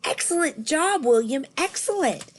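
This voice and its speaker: A woman reader plays a patient but firm schoolteacher in a children's storybook. She addresses her young class in a measured, instructive way. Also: sits high, lively intonation, voice pushed up. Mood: encouraging.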